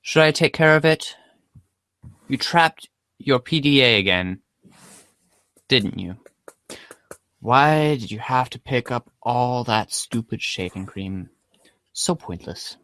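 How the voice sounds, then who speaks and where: Man playing slow, exasperated, sensible friend. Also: mid-range voice, lively intonation, bright, forceful tone, no room sound.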